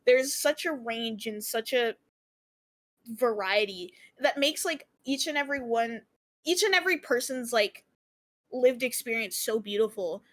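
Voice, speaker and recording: A woman's voice: fairly high pitch, animated, unhurried, a bit bright. Impassioned woman streamer, lots of cursing. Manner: passionate, swears freely, lots of fillers. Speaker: streamer on a panel. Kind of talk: casual panel chat.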